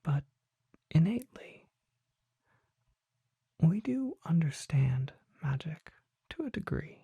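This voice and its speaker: Very close and dry, a mysterious vampire apothecary, played by an ASMR performer, whispers in a husky, breathy voice with a crackle of fry. The learned figure speaks formally and deliberately, leaving measured pauses in a slow roleplay monologue. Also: medium pitch, big pitch swings, dark tone, no echo, full character voice. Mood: hushed, mysterious. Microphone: close microphone.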